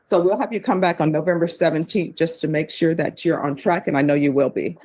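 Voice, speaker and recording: A woman judge in a courtroom, friendly and plainspoken, spelling out clear step-by-step instructions. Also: low voice, steady tone, a little fast, a little mellow. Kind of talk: presiding over court hearings.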